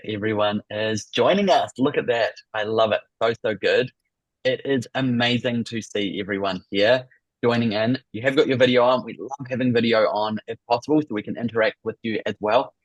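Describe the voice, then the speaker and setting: A middle-aged man with a New Zealand accent, warmly hosting an online workshop over a video call with effusive welcomes. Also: lowish voice, fairly quick, dry recording, low-quality recording. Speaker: business coach and workshop host.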